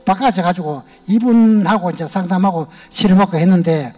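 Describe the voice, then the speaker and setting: An older man speaks with a Gyeongsang accent, a retired elder sharing his testimony in a large church sanctuary. His voice sits high for a man yet sounds mellow and warm. His storytelling is plain and homespun, with a little music in the background. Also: moderate pace. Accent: Gyeongsang Korean. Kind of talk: personal testimony to a congregation.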